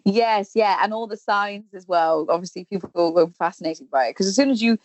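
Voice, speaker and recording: A woman's voice: mid-range voice, a little fast, no echo. A woman in her thirties from Wales, a Welsh speaker with a Welsh accent, chatting casually as a podcast guest about her work as an actress and singer, full of ums and restarts. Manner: chatty, warm storytelling with many ums and restarts. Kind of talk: casual interview chat.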